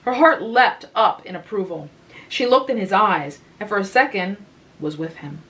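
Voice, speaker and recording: A woman giving a calm, relaxing reading of a literary short story. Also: mid-range voice, rough recording. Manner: slow, calm, unhurried. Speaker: reader of literature.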